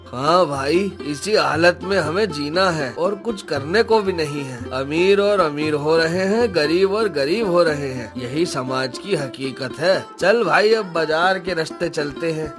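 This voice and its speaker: A somewhat high male voice of an actor plays a poor village woodcutter in a cartoon moral tale. He is weary and worried, lamenting in earnest. Music plays lightly behind him. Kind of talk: acted Hindi moral story cartoon dialogue.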